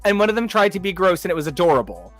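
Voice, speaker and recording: A man with a slightly higher voice talks in a big, loud, animated way. He is co-hosting a relaxed review discussion. Faint music plays underneath. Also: very fast. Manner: animated, self-described big loud talker. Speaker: podcast co-host. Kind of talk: casual review chat.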